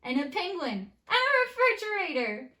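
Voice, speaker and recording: Woman voicing a high-pitched little storybook girl. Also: big pitch swings, moderate pace, bright, forceful tone, reverberant, exaggerated voice. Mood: delighted. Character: bored, boastful little girl neighbor, later giggly.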